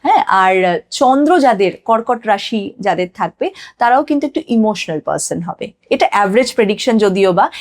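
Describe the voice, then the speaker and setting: A woman's voice: a little high, darkish tone. A woman explaining as an astrologer guest on a podcast, teaching with emphatic repetition and mixing in English phrases. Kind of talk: explanatory podcast interview talk.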